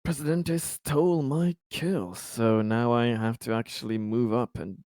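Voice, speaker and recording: A man's voice: medium pitch, fairly even intonation, a little slow, warm tone, no echo, clean studio sound. A man playing a tabletop game, talking casually and hesitantly with many fillers and chuckles. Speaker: player.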